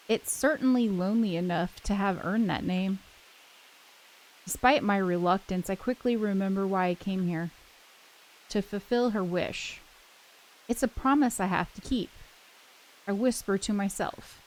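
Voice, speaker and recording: A woman's voice: mid-range voice, measured pace, long pauses, a little mellow, low-quality recording. A woman hosting a let's play, switching between casual chat and reading a visual novel's text aloud. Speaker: host of a gaming channel. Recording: music playing behind the voice. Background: game soundtrack music.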